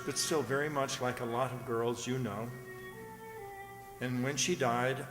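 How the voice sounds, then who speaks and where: A man's voice: medium pitch, natural voice. Man acting a solemn, elegiac radio drama narrator. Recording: echoey hall with music behind.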